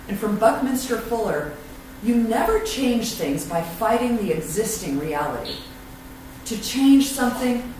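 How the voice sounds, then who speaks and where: A woman leading a church invocation and readings in a prayerful, unhurried, affirming way. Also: medium pitch, a little mellow. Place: church sanctuary. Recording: echoey room, far from mic, noisy.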